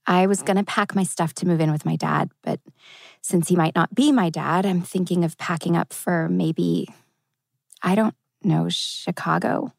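A woman's voice: on the low side, steady tone, normal speed, creaky, no room sound. A woman playing an anxious, wry teenage girl, speaking hesitantly in a TV teen drama table read. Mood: anxious, unsure. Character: anxious, wry teenage girl torn over her family.

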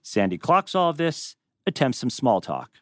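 A man's voice: mid-range voice, big pitch swings, moderate pace, no room sound, studio quality. A man narrating a screenplay's stage directions at a table read. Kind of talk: animated script reading.

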